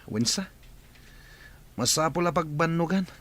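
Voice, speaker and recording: A man's voice: a little high, a little slow, fairly bright tone. A man with a Filipino accent playing a regretful, restless prisoner in a radio drama.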